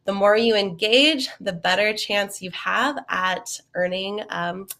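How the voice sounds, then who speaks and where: Bright, upbeat woman hosting a webinar. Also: medium pitch, slow. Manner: upbeat, chatty, many ums and uhs. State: sniffly, getting over a cold. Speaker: webinar host.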